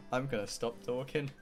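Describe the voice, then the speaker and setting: A man's voice: mid-range voice, fairly even intonation, slow, faint music behind. A man from England with a southern English accent, chatting casually and bluntly on a livestream, rambling and swearing freely. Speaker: game streamer.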